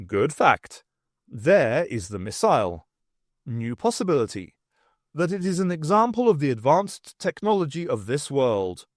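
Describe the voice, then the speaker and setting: A man's voice: medium pitch, dry recording, clean studio sound, natural voice. A man voicing tentacled alien data pirates who speak in clipped, formulaic statements for an audiobook. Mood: commanding.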